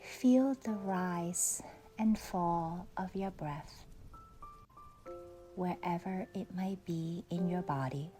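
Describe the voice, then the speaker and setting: A woman leading a guided meditation in a soft, soothing, unhurried way with long pauses, often trailing off into breathiness. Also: lowish voice. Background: faint music.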